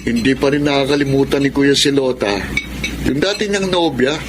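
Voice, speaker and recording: A man's voice: medium pitch, a little fast, lightly acted. Eager kid brother played by a man, noisy background. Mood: teasing. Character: eager, matchmaking youngest brother. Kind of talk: Tagalog radio drama acting. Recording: vehicle noise behind dialogue.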